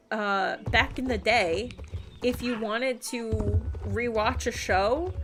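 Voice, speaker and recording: A woman's voice: a little high, fairly bright tone. A woman livestreaming a game, chatting and rambling casually and reading things aloud as she plays. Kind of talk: casual stream chat and game commentary.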